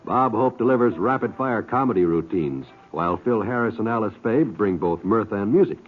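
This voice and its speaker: A man's voice: lowish voice, animated, normal speed. An American man reading scripted introductions as a 1950s network radio announcer. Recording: tinny vintage radio broadcast recording.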